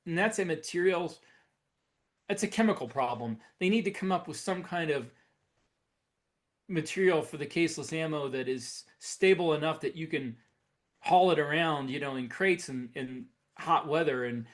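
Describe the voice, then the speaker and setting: Guy on a podcast, voice a bit on the high side, casually explaining tech stuff, kinda measured and halting. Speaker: podcast co-host. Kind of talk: casual speculative tech discussion.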